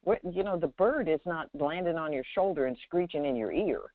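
Woman spiritual teacher, very lively intonation, conversational and illustrative, heard over a dry telephone line. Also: low voice, fairly quick, no echo. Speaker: teacher and guide on the call. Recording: tinny, dry phone line.

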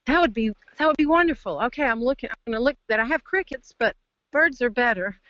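Woman caller with a bright, forward voice, reflective and searching for words, on a dry lo-fi line. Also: on the high side, expressive, fast, no room sound. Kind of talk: casual reflective sharing. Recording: dull, dry phone call.

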